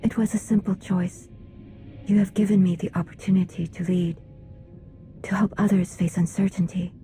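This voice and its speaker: An actress playing a gentle, devoted female mystic turned leader in a video game cutscene, soft and sincere. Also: on the low side, steady tone, moderate pace, faint music behind, lightly acted. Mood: quiet sincerity.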